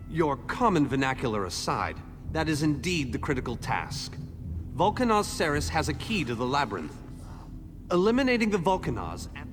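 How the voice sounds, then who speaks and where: An actor voicing a pompous, excitable mage commander in a fantasy video game, with grandiose, formal diction. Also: male voice, fairly high pitch. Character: excitable pompous male mage commander rallying his allies.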